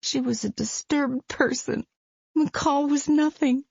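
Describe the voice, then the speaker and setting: A tearful young mother, pleading and close to breaking, her words rising high with strain, as a woman reader takes her on. The read is dry and a little muffled. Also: measured pace, gravelly, a little mellow, no echo. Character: distraught young mother, tearful and self-blaming.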